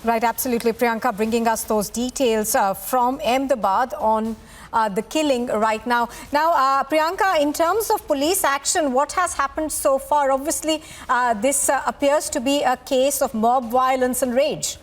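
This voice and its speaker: A woman news anchor with an Indian accent presenting live news in emphatic, deliberate phrases. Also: high-pitched.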